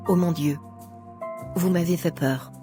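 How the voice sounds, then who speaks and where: Young romantic heroine for animation, female actor, courteous, quietly determined, slightly low voice. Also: measured pace, lightly acted. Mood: friendly. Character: sincere, softly determined young female student in love. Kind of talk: animated drama dialogue. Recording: music behind the voice.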